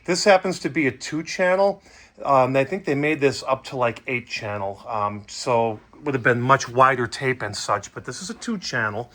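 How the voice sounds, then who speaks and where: Bright-voiced middle-aged man, casual demo host. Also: mid-range voice, normal speed. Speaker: hobbyist host demonstrating vintage audio gear. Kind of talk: casual product demo talk.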